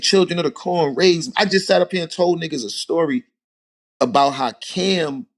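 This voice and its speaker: A man, a rapper telling stories as a podcast guest in a relaxed, animated way. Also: on the high side. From Harlem, New York. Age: 40s. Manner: animated storytelling, rhetorical asides. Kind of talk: casual podcast conversation.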